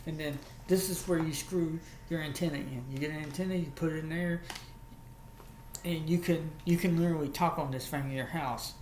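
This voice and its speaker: A man's voice: on the high side, fairly even intonation, fairly quick, a little mellow. Southern man vlogging in an echoey room. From United States, Alabama. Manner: folksy, repetitive explaining. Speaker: video host. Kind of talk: casual vlog product show-and-tell. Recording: echoey room with faint background noise.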